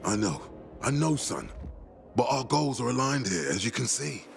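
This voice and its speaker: Paternal military leader, grandiose, coaxing, gravelly edge, very expressive intonation, game cutscene. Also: male voice, mid-range voice, a little hiss or hum. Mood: earnest, coaxing. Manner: rhetorical, measured, coaxing. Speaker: voice actor in a video game. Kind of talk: cinematic game dialogue.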